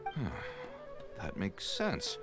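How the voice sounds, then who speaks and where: An actor playing a humble, earnest working family man in a video game, plain-spoken and expressive. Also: extremely slow. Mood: puzzled, musing. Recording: game dialogue over background music.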